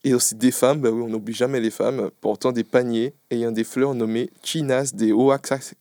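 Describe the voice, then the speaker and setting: A man's voice: medium pitch, steady tone, normal speed, dark tone, dry recording, clean studio sound. A man giving his events segment on a casual radio show, laughing often and tripping over his words.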